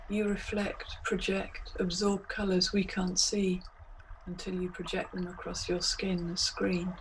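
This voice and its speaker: Woman guiding meditation in a flat monotone. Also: mid-range voice, a little hiss or hum, rough recording. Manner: measured, hypnotic, deliberate phrasing with pauses. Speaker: meditation guide. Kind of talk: guided meditation, scripted narration.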